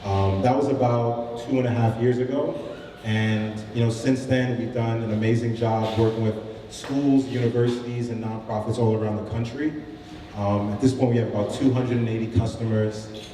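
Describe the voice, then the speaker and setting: A man who founded a startup gives an informal pitch talk to a live audience, with many fillers and restarts. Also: steady tone, normal speed, dark tone, a little hiss or hum. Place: event room with audience. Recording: roomy hall, mic from a distance.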